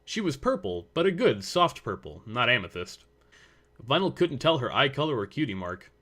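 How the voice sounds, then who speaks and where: A man's voice: medium pitch, big pitch swings, moderate pace, fairly bright tone. A man reading scripted story narration as the narrator.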